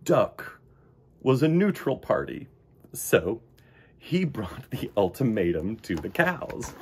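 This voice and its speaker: A man reading a children's storybook aloud as an expressive narrator. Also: lowish voice, takes it slowly, slight background noise.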